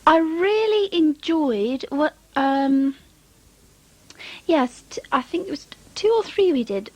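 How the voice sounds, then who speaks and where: High-voiced woman reminiscing casually. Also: expressive, slow, darkish tone, dry recording. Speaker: voice actress being interviewed.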